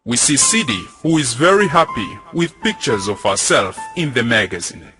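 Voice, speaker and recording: A man's voice: mid-range voice, sing-song, normal speed, sharp tone. A young man reading scripted narration for a stage drama. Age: young adult. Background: music.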